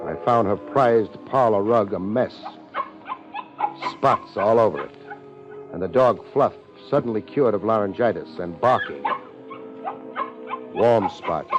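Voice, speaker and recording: A man playing a wry, laid-back but tough private detective in 1950s radio drama, speaking in wisecracking slang. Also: fairly low pitch. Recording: muffled old radio recording, music behind.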